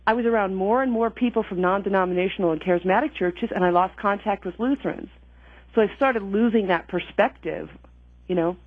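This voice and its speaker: A woman's voice: medium pitch, fairly quick, dry recording. A woman guest earnestly recounting her own faith journey, thinking aloud and correcting herself as she goes, heard over a phone line. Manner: earnest, reflective, self-correcting. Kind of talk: personal testimony in conversation.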